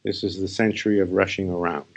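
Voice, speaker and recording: Male meditation guide: low-pitched, croaky fry, unhurried phrasing with pauses, spiritual teacher delivery. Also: fairly even intonation. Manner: unhurried, pausing between short phrases. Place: live session.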